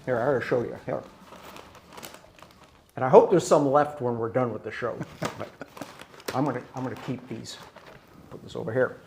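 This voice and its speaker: A man from the US Upper East Coast hosting a casual interview show, chatty and quick to laugh. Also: mid-range voice, creaky, a little mellow, slight background noise. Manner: chatty, laughs easily, restarts often. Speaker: talk show host.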